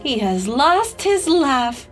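Grave old pixie musician, acted by a woman: slow, solemn, rising and falling. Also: on the high side, lively intonation, faint music behind. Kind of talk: storybook reading.

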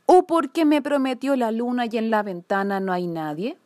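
A woman's voice: a little high, moderate pace, darkish tone, no room sound, close to an everyday voice. A woman voicing an earnest, brave little girl in a children's storybook, polite and plaintive. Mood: sad, wondering.

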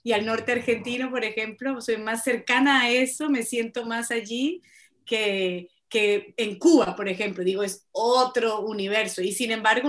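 Laughing Colombian woman, high voice. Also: a bit bright. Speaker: guest singer-songwriter. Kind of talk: radio interview.

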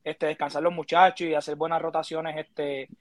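A man giving baseball analysis, measured and filling pauses with "este.". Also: on the high side, level tone, dry recording, clean studio sound. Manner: measured, filler "este" and "verdad". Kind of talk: casual sports talk.

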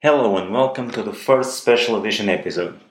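A man's voice: lowish voice, low-quality recording. A man with a Russian accent hosting a casual tech podcast, joking and often restarting his sentences. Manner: halting, jokey, many restarts. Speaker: podcast host.

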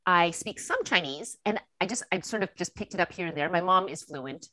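A woman with a Californian American accent chatting casually as a podcast guest, telling personal stories and acting out bits of dialogue in an animated way. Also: lowish voice, a little fast, no room sound, poor audio. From Los Angeles, USA. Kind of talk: casual chat, personal storytelling.

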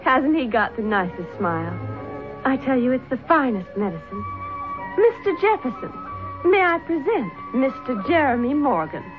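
High-voiced flirtatious colonial belle. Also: female voice, big pitch swings, moderate pace, over music. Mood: gracious, coy. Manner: coy, airy, sprightly. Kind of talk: old-time radio drama acting. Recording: tinny old radio recording.

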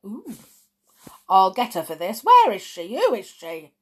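A woman voicing a loud-mouthed, greedy boy who has been turned into a mouse, brash and indignant. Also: mid-range voice, big pitch swings, measured pace, husky. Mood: furious.

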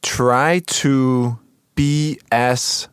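Young guy on a podcast, calm explainer, dry humor, mid pitch, no room sound. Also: drawn-out words, clear, resonant tone, dry recording. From Denmark. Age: young adult. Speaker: podcast co-host. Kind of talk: casual podcast chat.

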